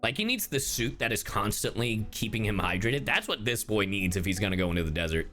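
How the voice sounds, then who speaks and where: A man's voice: on the low side, big pitch swings, brisk, pushed and bright, faint music behind. A man giving casual game reaction commentary as a streamer, slangy and joking.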